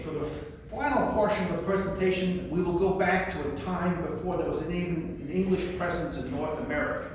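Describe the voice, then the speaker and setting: A man with a high voice presents and recites in a theatrical, declamatory way. He sounds far from the microphone, in a large room with heavy echo and long reverb. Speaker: librarian presenting a poetry reading. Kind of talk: spoken presentation and recitation of poems. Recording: very echoey room, distant mic, faint noise.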